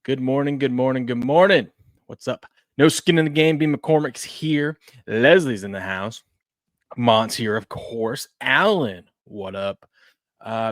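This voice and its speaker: Low-voiced hype-prone thirties host. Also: male voice, no echo, studio quality. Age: 30s. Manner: animated, jumps between mumbling and loud hype. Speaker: sports betting show host. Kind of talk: casual live-stream chat.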